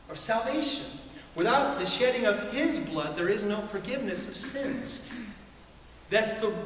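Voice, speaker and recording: A man's voice: high voice, fairly bright tone, a little hiss or hum. A middle-aged man preaching a sermon from a church stage, talking conversationally with rhetorical questions and small chuckles. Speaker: pastor. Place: church stage with a large screen. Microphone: far camera in the hall. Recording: echoey hall from far off.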